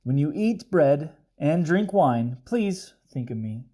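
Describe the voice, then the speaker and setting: A man's voice: a little high, expressive, slow, warm tone. A man voicing an earnest, commanding Jesus in a children's storybook, with solemn, emphatic delivery. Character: Jesus, earnest, commanding adult male religious leader. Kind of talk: children's storybook read-aloud.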